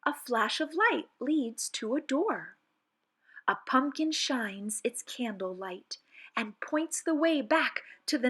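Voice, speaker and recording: A woman with a fairly high voice performs children's poems aloud. She takes her time, pacing each phrase very slowly and deliberately. The delivery is theatrical and full of suspense, made for young listeners. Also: fairly bright tone, slightly rough. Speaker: children's read-aloud presenter. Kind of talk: expressive children's poetry read-aloud.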